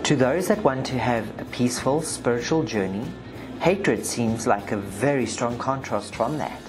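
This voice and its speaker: A woman's voice: extremely low pitch, moderate pace, music in the background, low-quality recording. A woman with a South African accent, hosting a spiritual video and reading session transcripts aloud with emphasis. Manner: emphatic, forceful when reading the client. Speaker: video host, spiritual practitioner. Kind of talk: narration read from session transcripts.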